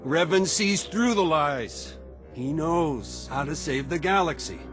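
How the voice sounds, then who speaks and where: A man's voice: a little high, animated, slow pace, music in the background. A man voicing a defiant but doubting rebel soldier in a video game cutscene, confrontational and wavering.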